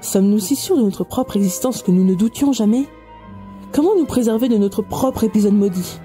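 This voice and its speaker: An actress playing a sly office colleague who tells stories with mock solemnity in an audio comedy. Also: female voice, medium pitch, fairly quick, warm tone, soft background music, natural voice. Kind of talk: amateur audio comedy drama.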